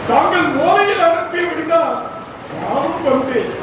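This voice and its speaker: A man's voice: extremely high pitch, expressive, a little slow. A man acting as a proud young warrior prince speaking earnestly to his mother in a stage play. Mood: pleading. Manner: earnest, declamatory, pleading. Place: large auditorium stage. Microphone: distant room mic. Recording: echoey hall from far off, loud noise.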